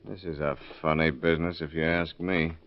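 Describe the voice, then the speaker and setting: Businesslike investigator, muffled radio sound. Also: male voice, rumbling low, steady tone, fairly quick. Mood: pondering. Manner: matter-of-fact questioning. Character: calm, businesslike male arson investigator. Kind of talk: 1930s radio acting. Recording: muffled vintage radio recording.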